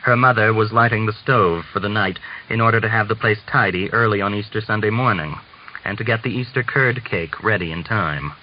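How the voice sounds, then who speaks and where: A man reading a literary novel aloud as scripted narration, in a measured manner. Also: fairly low pitch. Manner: measured, acts out dialogue. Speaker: audiobook reader. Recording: muffled lo-fi audio with clear hiss.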